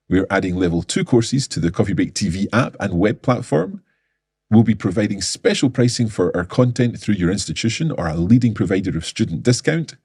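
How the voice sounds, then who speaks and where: A man delivers a scripted announcement, his voice low and slightly husky. He sounds upbeat and eager throughout, and the recording is close and dry with no room sound. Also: darkish tone, no room sound. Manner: upbeat, enthusiastic presenter. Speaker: company presenter.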